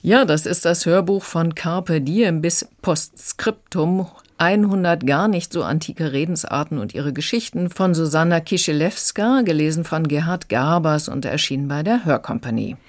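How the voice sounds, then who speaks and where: A woman's voice: low-pitched, normal speed, hardly any pauses. A woman giving a prepared review of audiobooks in a radio conversation.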